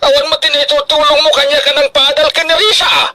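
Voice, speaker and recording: An actor playing a harsh, hot-tempered middle-aged father in a radio drama, loud, emphatic and wailing. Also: very high voice, little pitch movement, fast, heavily acted. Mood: angry, scolding. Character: harsh, hot-tempered father scolding his grown daughter.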